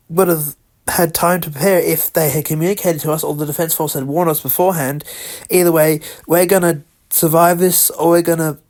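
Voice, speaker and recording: A man narrating an amateur scripted emergency broadcast, halting and correcting himself as he reads. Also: fairly high pitch, a little mellow. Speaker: radio emergency announcer in a fan-made scenario.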